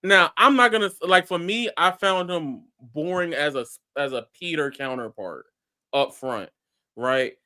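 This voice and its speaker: A man's voice: on the high side, expressive, measured pace, bright, forceful tone. A man who is a guest on a casual panel talk, speaking hesitantly with fillers and frequently checking "right?".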